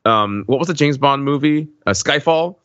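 A man's voice: on the high side, expressive, normal speed, a bit bright, smooth, dry recording, lo-fi sound. A man in a casual film discussion, talking in an emphatic, nitpicking way as a podcast panelist.

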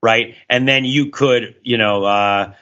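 A man's voice: medium pitch, steady tone, takes it slowly, sharp tone, dull audio. A man co-hosting a podcast, explaining things in a casual, discursive way and often checking in as he goes. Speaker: podcast co-host.

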